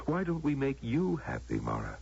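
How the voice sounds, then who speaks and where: An actor playing a solemn, knowing man who grants wishes, gravelly and persuasive in a radio drama style. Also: mid-range voice, huge pitch swings, a little mellow, full character voice. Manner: measured, grave, persuasive, theatrical. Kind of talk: American radio drama acting. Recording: muffled, lo-fi old radio broadcast.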